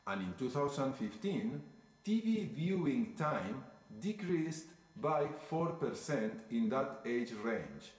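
A man's voice: medium pitch, very animated, slow. A man in his forties with a Spanish accent, a native Spanish speaker, explaining facts on a video. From Spain. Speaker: statistics specialist. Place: indoor room, played into lecture hall. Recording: echoey playback through room speakers.

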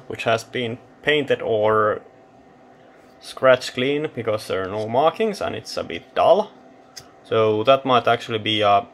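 A man giving a casual technical demonstration, thinking aloud in a halting, matter-of-fact way. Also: mid-range voice, fairly bright tone. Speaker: electronics hobbyist presenter. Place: indoor electronics workbench.

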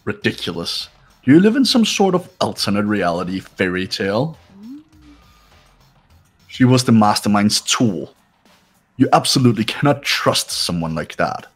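A man's voice: lowish voice, very animated, moderate pace, pauses often, breathy edge, faint music behind. A man voicing a haughty, suspicious young student in a visual novel game, talking in a cold, condescending, accusatory way. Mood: scornful.

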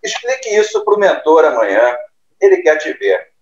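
Man playing stern male boss, fairly high voice, animated, scolding, muffled phone sound, audio drama. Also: moderate pace, a little hiss or hum. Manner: scolding, imperious.

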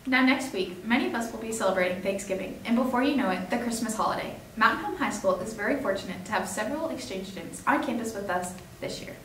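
Teen girl anchor, higher voice, brisk reads, distant mic. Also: lively intonation, fairly bright tone, roomy sound, slight background noise. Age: teens. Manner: brisk read-aloud delivery. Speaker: student news anchor. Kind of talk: scripted news anchoring. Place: school studio news desk.

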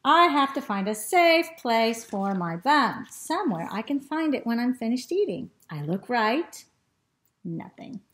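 Woman voicing an excitable, greedy storybook dog, high-pitched. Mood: excited, busy. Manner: exclamatory, drawn-out words and short repeated sniffing phrases. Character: excitable, greedy male dog narrating his food adventures. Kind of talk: expressive children's storybook reading.

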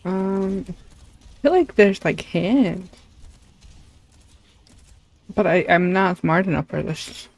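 Creaky-voiced woman casually streaming a game. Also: fairly low pitch, expressive, takes it slowly, pauses often, no echo. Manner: self-deprecating, grumbling, informal. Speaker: livestreamer. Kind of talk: casual gaming stream commentary. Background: game music.

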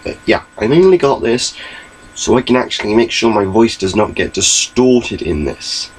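A man's voice: fairly low pitch, lively intonation, moderate pace, a little hiss or hum, low-quality recording. A young man giving a rambling, chatty webcam update from his small bedroom, recorded on his laptop mic. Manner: rambling, chatty, gestures a lot. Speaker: vlogger.

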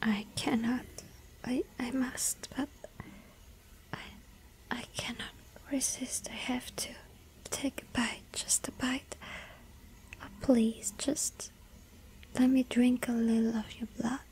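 A woman's voice: on the high side, flat delivery, slow pace, pauses often, poor audio. Whispering vampire girl, voiced by a woman. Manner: breathy soft whisper, pleading. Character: lonely yandere vampire girl, sweet and hungry. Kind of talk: ASMR roleplay whispering.

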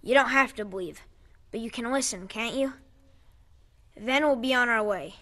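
A woman's voice: on the high side, normal speed, sharp tone. A woman acting in an audio drama as an earnest, pleading young underground scavenger. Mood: earnest, persuasive. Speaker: actor.

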